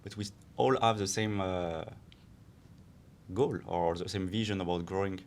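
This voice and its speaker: A man co-hosting a podcast who leads a calm, soothing guided meditation and then chats with measured phrasing. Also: low-pitched, slight background noise. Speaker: podcast co-host. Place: Barcelona.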